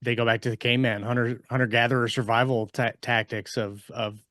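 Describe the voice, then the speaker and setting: A man on a podcast panel chatting casually, agreeable and somewhat halting as he works out his thoughts. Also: mid-range voice, flat delivery, a bit bright, dry recording, studio quality. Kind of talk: casual book discussion.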